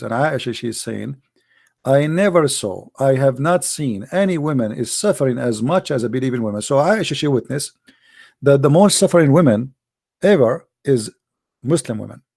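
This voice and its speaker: Gravelly Arabic-accented man. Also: mid-range voice, a little mellow, no echo, studio quality. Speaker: host taking calls. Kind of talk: call-in debate show.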